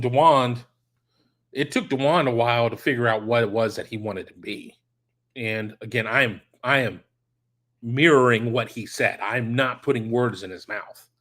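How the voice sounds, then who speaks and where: A middle-aged man running a one-man sports talk show, his voice worn hoarse as if he is losing it. He speaks bluntly and with conviction, circling back over his points and stressing them twice. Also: mid-range voice, fairly bright tone. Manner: blunt, emphatic, repeats phrases for emphasis. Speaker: sports podcast host. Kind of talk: solo opinion talk answering listener questions.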